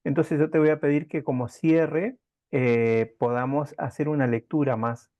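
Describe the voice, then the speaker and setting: A man's voice: a little high, fairly even intonation, measured pace, dry recording. A man hosting an interview in a conversational style, recorded with dull, lo-fi call-like audio. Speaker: interview host.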